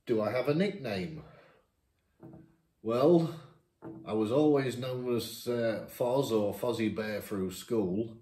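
A man's voice: medium pitch, slow pace, long pauses, dark tone. A 51-year-old man from Lincolnshire, reading questions aloud at his dining table and answering them in a chatty, self-mocking way. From Lincolnshire, England. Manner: reads each question aloud then answers chattily, laughs at himself. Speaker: YouTuber answering viewer questions. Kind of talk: casual question-and-answer chat. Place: dining room at home, seated at a table. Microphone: camera across the table. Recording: echoey room.

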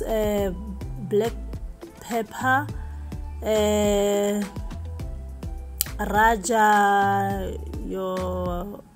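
An unhurried woman talks viewers through her cooking with plenty of fillers and restarts. Her pitch sits in the middle of the usual range. She is recorded in her home kitchen on a camera mic set across the room, with faint music underneath. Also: darkish tone. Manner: chatty, hesitant, many fillers, calls viewers guys. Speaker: vlogger cooking for her family. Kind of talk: casual cook-with-me narration.

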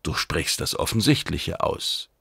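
A quiet alien adviser, played by a male narrator in a science fiction audiobook. He is deferential and calculating, speaking low and measured with a gravelly edge. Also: animated, no room sound, clean studio sound, exaggerated voice. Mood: probing, careful. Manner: subdued, measured, careful.

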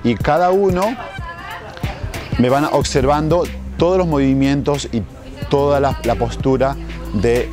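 Male fitness instructor, forties, informal presenter to camera, recorded outdoors with clear background music. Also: mid-range voice, a little slow, long pauses. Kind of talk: informal promotional talk to camera. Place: outdoors at a seaside marina. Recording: music playing behind the voice.

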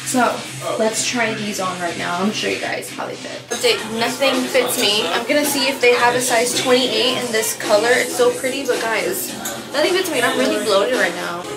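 A woman in her twenties chatting casually to camera in an animated, slangy way, swearing freely. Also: a little high, moderate pace, loud music behind the voice. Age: 20s. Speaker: vlogger. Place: car, shops, parking lot, cluttered bedroom. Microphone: handheld or propped vlog camera. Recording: noisy handheld camera audio.

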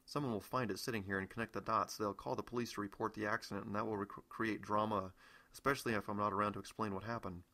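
An anxious protagonist , acted out by a man reading his audiobook, flustered and quick to protest. The voice is bright and on the low side. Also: steady tone. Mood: worried protest. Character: startled, anxious male protagonist arguing back.

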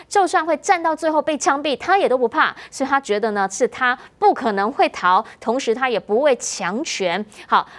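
A woman news anchor with a Taiwanese accent, presenting and discussing the news. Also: high voice. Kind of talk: live news presenting and interviewing.

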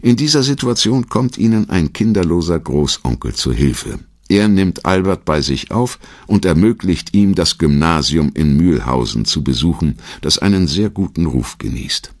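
A man narrating a scripted documentary in a measured, storytelling manner. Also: deep voice, expressive, dry recording, studio quality.

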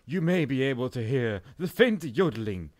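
A man's voice: medium pitch, sing-song, normal speed, darkish tone, no echo, studio quality, natural voice. A man playing a pompous, rambling, absurdly reverent expert in an improvised mock documentary.

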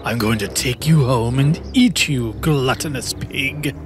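A man's voice: measured pace, music in the background, lightly acted. A man voicing a sly, hungry grey wolf villain in a cartoon story, sneering and gloating. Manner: sneering, gloating, low menacing laugh. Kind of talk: cartoon voice acting.

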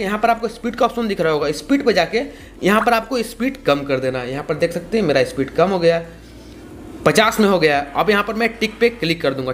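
A man's voice: high-pitched, fairly bright tone. A young man giving a casual, repetitive step-by-step tutorial. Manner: repetitive, explanatory. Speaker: tutorial host.

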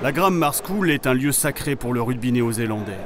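A man's voice: mid-range voice, animated, fast, background noise. A man reading scripted documentary narration as the narrator. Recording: music behind the voice-over. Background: music.

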